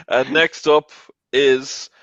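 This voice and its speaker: A man's voice: mid-range voice, fairly even intonation, extremely slow, fairly bright tone, no echo. Male host with dull, dry sound. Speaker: show host. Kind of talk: casual panel debate about films.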